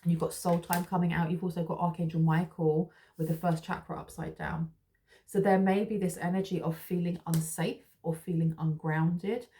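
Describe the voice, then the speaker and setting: Woman, tarot reader, lowish mellow voice, chatty and reassuring, lots of ums and restarts. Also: level tone, normal speed, slight background noise. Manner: rambling, reassuring, with many fillers and restarts. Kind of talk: casual spiritual tarot reading.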